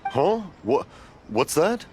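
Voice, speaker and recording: A man's voice: fairly high pitch, very animated, takes it slowly, very noisy. An actor playing a jolly, kindly, hard-of-hearing old father in an anime game, drawing out words and easily puzzled. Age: older.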